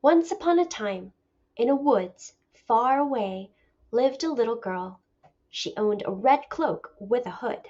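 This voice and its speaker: High-voiced woman teacher reading aloud. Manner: deliberate, expressive storytelling. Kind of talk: expressive picture-book read-aloud lesson. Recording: dull lo-fi recording.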